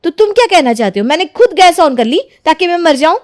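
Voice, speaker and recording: A woman playing a scheming, quarrelsome young wife in a melodramatic, accusing manner for an animated moral story. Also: very high-pitched, fairly quick, no room sound, studio quality. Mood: indignant. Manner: accusing, melodramatic, self-righteous. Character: scheming, quarrelsome young married daughter-in-law.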